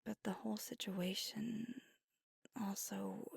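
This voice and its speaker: A woman voicing a gravelly-whispered young vampire. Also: mid-range voice, flat delivery, slow pace, no echo, studio quality, exaggerated voice. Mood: wistful. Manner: soft whispering, intimate. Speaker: ASMR roleplay performer. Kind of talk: ASMR roleplay. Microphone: close mic.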